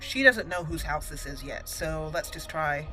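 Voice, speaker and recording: A woman's voice: deep voice, expressive, moderate pace, pushed and bright, rough recording. Chatty woman gamer over game music. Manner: chatty, playful, thinking aloud. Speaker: YouTube gaming host. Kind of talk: roleplay let's play commentary.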